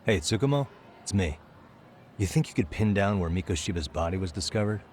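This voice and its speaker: A man's voice: deep voice, expressive, fairly quick, a little mellow, a little hiss or hum. An actor playing a laid-back male detective with an American accent and a casual, understated delivery in a video game. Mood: focused. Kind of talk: video game cutscene acting, naturalistic.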